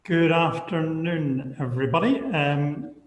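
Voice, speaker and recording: A man's voice: a little high, expressive, slow pace. Northern Irish man in an echoey room. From Northern Ireland. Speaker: architect and board member. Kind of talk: online webinar talk. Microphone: video call. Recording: roomy echoey video call audio.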